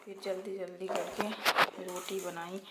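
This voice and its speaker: A woman with a slightly hoarse throat, narrating her daily routine in a casual, chatty vlog style with English words mixed in. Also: on the low side, level tone, a little slow, heavy background noise. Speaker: vlogger.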